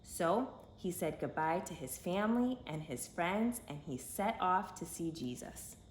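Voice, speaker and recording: Female storyteller for kids' read-aloud: expressive, emphatic, unhurried. Echoey room, slight background noise. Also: lowish voice.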